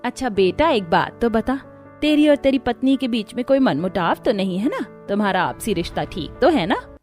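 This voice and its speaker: A woman's voice: fairly high pitch, very animated, normal speed, a little mellow, soft background music. Woman playing a concerned middle-aged mother-in-law. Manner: kindly, probing.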